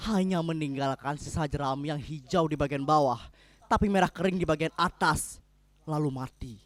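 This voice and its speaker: A man performing a dramatic reading as a stubborn, pessimistic husband, declamatory and rhetorical with dramatic pauses. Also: on the high side, fairly bright tone, no echo, close to an everyday voice.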